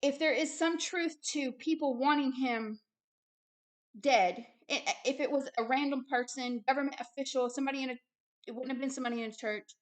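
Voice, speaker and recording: Woman, religious video host, fairly high voice, emphatic, insistent, repetitive phrasing, reading and preaching. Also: fairly even intonation, fairly quick. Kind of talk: reading a book aloud with impassioned preaching commentary.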